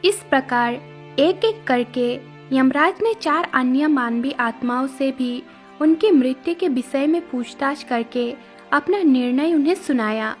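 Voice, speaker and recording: High-pitched, slow female storyteller. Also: animated, faint music behind. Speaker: narrator. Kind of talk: scripted moral story narration.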